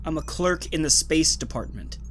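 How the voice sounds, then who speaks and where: A man's voice: on the high side, animated, moderate pace, fairly bright tone, a little hiss or hum. A man playing an anxious, poetic clerk in a dystopian audio drama, with an earnest, theatrical, emotive delivery. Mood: nervous, defensive. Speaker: actor. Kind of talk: audio drama acting, with reflective first-person narration.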